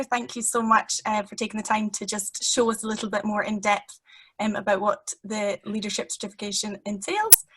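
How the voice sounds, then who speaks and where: A young woman welcomes everyone over a video call from a room at home, speaking slightly high. She laughs easily and leans on fillers as she talks. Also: poor audio. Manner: warm, laughing, many fillers. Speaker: program participant. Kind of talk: casual webinar welcome.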